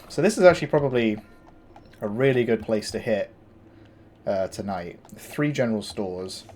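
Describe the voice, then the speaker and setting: A man with a gravelly morning voice, casually commenting on his game as he plays, thinking aloud with fillers and restarts. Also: fairly low pitch, animated, a little slow, long pauses, darkish tone, a little hiss or hum. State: tired morning voice. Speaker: gameplay commentator. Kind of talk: casual let's-play commentary.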